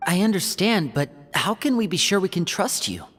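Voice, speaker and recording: A woman playing a cool, vigilant female fighter in a fantasy video game, composed and measured. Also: fairly low pitch, sing-song, husky. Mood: wary. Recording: long reverb, faint music behind.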